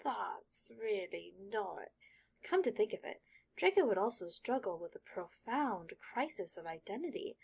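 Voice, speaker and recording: A woman narrating a fan fiction audiobook in an expressive storytelling manner. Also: fairly high pitch, breathy edge, rough recording.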